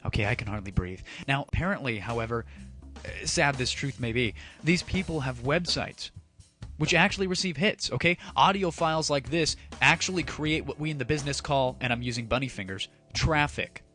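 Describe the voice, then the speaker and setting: A man's voice: medium pitch, animated, brisk, bright, forceful tone. A man hosting a comedy audio show, addressing listeners directly with sarcastic, grandiose commentary. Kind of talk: mock-dramatic radio-style commentary over music. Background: music.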